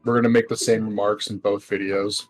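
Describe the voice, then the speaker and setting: A man's voice: fairly low pitch, flat delivery, a little fast, soft background music. A man making wry wisecracks in casual chat with friends over a video game. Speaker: co-commentator on a game series.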